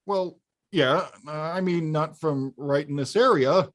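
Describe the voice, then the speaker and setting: A woman playing a big, clumsy male video-game bad guy in an animated film table read, blustering and halting. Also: low voice. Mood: evasive, irritated.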